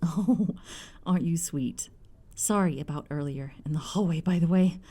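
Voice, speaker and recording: A woman's voice: sits low, moderate pace, dark tone. A woman playing a bubbly, devout middle-aged mother in an audio roleplay, gushing, playful and affectionate. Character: bubbly, devout, teasing mother, soon a grandmother.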